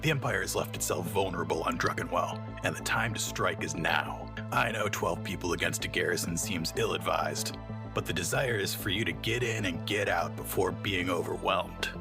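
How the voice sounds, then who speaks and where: Man acting a deep-voiced stern rebel lieutenant. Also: moderate pace, creaky. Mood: grave, commanding. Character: stern, earnest rebel lieutenant briefing his squad. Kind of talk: scripted audio drama. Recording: music under the voice.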